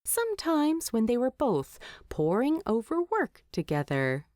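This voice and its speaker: A woman narrates fiction in an unhurried, measured way. Her pitch moves freely and animatedly, with deliberate emphasis on key words. The sound is dry and close, free of room reflections. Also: wildly swooping pitch, a little mellow, dry recording. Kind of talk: scripted storytelling narration.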